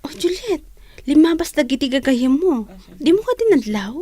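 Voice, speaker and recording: A woman's voice: sits high, sing-song, moderate pace, mellow. A woman acting a caring, generous young student and loyal friend in a radio drama. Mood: friendly.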